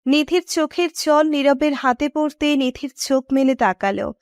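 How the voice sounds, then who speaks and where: A woman narrates a scripted romance. Her voice is high, and the recording is dry and close with hardly any room sound. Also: normal speed, no echo.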